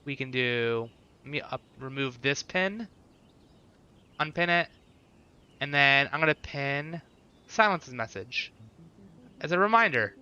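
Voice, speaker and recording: A man's voice: medium pitch, animated, slow pace, pauses often, bright, forceful tone, clear, resonant tone, highs cut off. A man chatting casually with viewers as he streams a game, repeating short phrases and thinking aloud.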